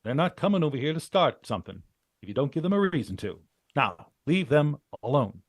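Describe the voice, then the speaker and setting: An actor playing a stern, commanding team leader with clipped delivery in an old-time radio drama. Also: male voice, fairly high pitch, big pitch swings, a little fast, dry recording, clean studio sound. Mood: exasperated, stern. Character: stern, no-nonsense male adventurer, team leader. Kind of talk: 1940s radio acting.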